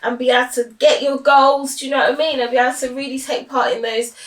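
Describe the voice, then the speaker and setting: A woman in her thirties talks through family news in a relaxed, chatty way, and keeps coming back to the same pet phrases. Her voice sits slightly high. She is heard from a few feet away in a room that rings with echo. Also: quick pace. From United Kingdom. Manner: chatty, warm-hearted, repeats "obviously" and "do you know what I mean". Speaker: mother and family vlogger. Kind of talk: casual family chat to camera. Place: family home, indoors. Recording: roomy echo, voice heard from a distance.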